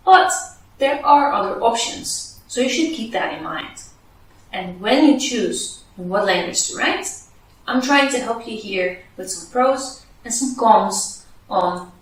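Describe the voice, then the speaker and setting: A woman's voice: mid-range voice, recorded from a distance, slight background noise. Echoey woman giving advice. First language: Dutch. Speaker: podcast host giving advice to researchers. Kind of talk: scripted advice video. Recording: very echoey room, voice away from mic.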